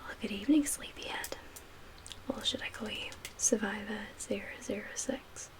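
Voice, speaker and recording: Whispering, soft-spoken female survivor camp leader, acted by a woman. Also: medium pitch, lightly acted. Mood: soothing. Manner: gentle, measured, hushed. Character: calm woman leading a zombie-apocalypse survivor camp. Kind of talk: soft-spoken ASMR roleplay. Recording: clear background ambient noise.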